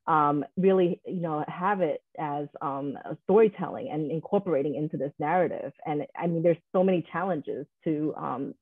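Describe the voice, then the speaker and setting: A woman's voice: deep voice, husky, no room sound. A young woman moderating a panel over a video call, searching for words with many fillers. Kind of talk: casual panel discussion. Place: small room, video call. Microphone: webcam on video call. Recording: dry tinny phone-like video call audio.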